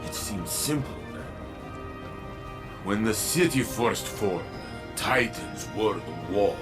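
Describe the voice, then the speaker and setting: An actor playing a proud, remorseful veteran warrior in a video game, delivering a solemn monologue in short, deliberate phrases. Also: male voice, low voice, full character voice. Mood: brooding, remorseful. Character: brooding male veteran Titan warrior, proud, remorseful, protective. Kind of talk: dramatic video game cutscene acting.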